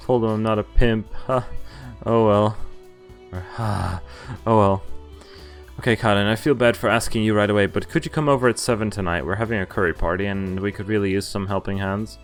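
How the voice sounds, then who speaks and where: Low-voiced man reading game text aloud. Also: steady tone, brisk. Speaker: game streamer. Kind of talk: casual read-aloud of game text with commentary. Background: game music.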